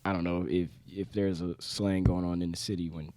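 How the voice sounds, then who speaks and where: Deep-voiced man asking questions casually. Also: little pitch movement, fairly quick, dark tone, dry recording. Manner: asks questions, says "you know what I'm saying". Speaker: podcast co-host. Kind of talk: casual podcast chat.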